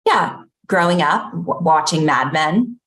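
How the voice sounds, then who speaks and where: Woman acting controlling, low-voiced boss. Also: expressive, slow, a bit bright, heavily acted, low-quality recording. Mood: self-important. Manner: curt, commanding, slightly pompous. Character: controlling, self-important female boss at ad agency. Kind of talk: conversational comedy table read.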